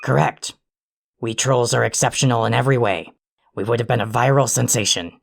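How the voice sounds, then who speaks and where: An actor in an audio drama playing an irritable, foul-mouthed, self-important alien troll who rants and insults in a gravelly voice. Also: mid-range voice, a little fast, background noise, natural voice. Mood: pompous, grumpy. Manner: ranting, insulting, pompously wordy.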